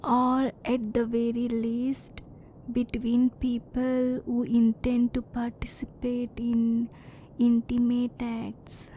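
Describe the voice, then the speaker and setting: A softly mellow, fairly high-voiced alien woman, as a woman acts her out in an ASMR roleplay. She is a curious, reserved scientist who speaks slowly, with formal, precise wording. A low rumbling noise sits faintly behind her. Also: completely flat delivery, low-quality recording. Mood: curious, clinical. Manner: formal, precise, measured, emotionally restrained.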